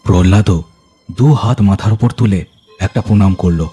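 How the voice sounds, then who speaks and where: A man with a low, deep voice narrates a scripted ghost story in a dramatic storytelling style. Music plays clearly behind him. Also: moderate pace, mellow.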